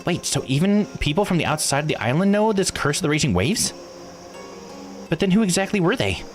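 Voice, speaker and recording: A man's voice: fairly high pitch, big pitch swings, a little fast, faint music behind, voice pushed up. A man voicing an earnest, worried young islander in a visual novel, polite and questioning. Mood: puzzled. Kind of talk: visual novel read-aloud voice acting.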